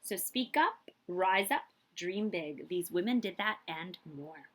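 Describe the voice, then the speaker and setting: A woman reading a children's picture book aloud in an expressive, emphatic storytelling manner, like a librarian at story time. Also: on the low side, slow pace.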